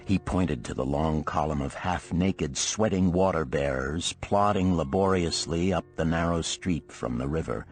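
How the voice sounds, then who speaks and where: A man's voice: extremely low pitch, measured pace, few pauses, faint music behind. A man reading a scripted story as an audiobook narrator.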